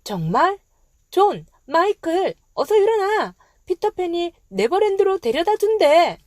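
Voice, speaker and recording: A woman's voice: extremely high pitch, big pitch swings, a little slow, no room sound, studio quality, pitched above the performer's own voice, exaggerated voice. A woman voicing an eager, kind, earnest young girl in a children's storybook reading. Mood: excited. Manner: bright-eyed, earnest, childlike. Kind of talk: children's storybook read-aloud.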